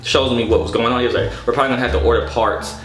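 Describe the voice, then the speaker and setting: A man telling a story casually and comedically, in an exasperated, animated, slangy way with plenty of swearing. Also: mid-range voice, racing. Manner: animated, exasperated, profane, slangy with repeated "you know what I'm saying". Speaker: storytelling vlogger. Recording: echoey room with music behind.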